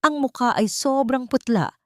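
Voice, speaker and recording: A woman's voice: high voice, moderate pace, dry recording, clean studio sound. A woman reading dramatic scripted story narration as an audiobook narrator.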